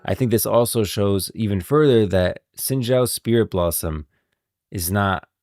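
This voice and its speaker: A man's voice: lowish voice, a little slow, darkish tone, no echo, soft background music. A man hosting a stream of game lore commentary, moving between casual talk and scripted reading. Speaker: streamer, host.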